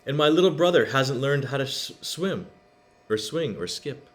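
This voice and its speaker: A man's voice: mid-range voice, animated, moderate pace, pitched above the performer's own voice, close to an everyday voice. A man reading the part of a polite, plain-spoken schoolgirl in a children's storybook. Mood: frank.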